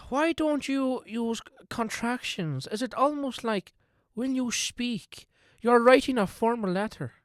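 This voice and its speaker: A woman's voice: fairly high pitch, animated, slow, dry recording. A woman playing a flippant junior detective who talks back casually in a radio drama. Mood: teasing. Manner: casual, cheeky, argumentative. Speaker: voice actor.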